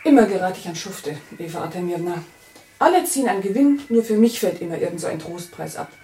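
A woman's voice: on the low side, animated, fast talker, dark tone, slight background noise. An actress playing a gossipy, envious, grumbling neighbour woman in a German radio play, chatty and needling. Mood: indignant complaining. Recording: roomy, distant mic, faint music.

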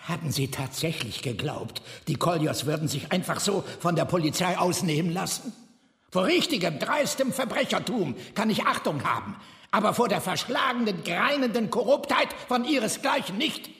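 A haughty, quick-tempered eccentric in a radio drama. His voice is high for a man and creaky, theatrical and scornful, echoing in a very reverberant room. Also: animated, a bit bright. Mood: indignant, furious.

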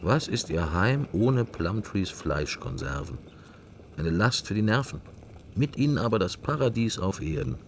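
A man's voice: on the low side, lively intonation, unhurried, vocal fry, a little hiss or hum. A man playing a pensive middle-aged husband in a radio drama, wry and furtive, musing in clipped fragments. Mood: idle reading. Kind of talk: radio drama inner monologue and dialogue.

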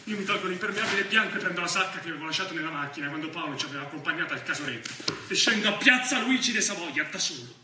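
A man's voice: fairly high pitch, lively intonation, rapid-fire, bright, forceful tone. An actor playing a cocky, crude young Roman gunman in an intense, confessional stage monologue with a Roman accent. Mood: calm, controlled. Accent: Roman Italian. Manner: intense, crude street talk with sudden swells. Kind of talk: stage monologue, confessional first-person storytelling. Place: theatre stage, recorded from a distance. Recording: roomy distant stage recording, faint noise.

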